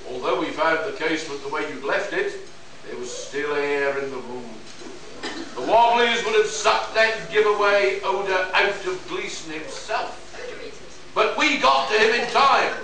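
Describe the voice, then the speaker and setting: A man's voice: fairly high pitch, close to an everyday voice. A man playing a cocky space detective in a pulp radio play, laying out his case in a theatrical, expository way. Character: cocky male space detective explaining a murder. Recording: echoey hall, distant mic, faint noise.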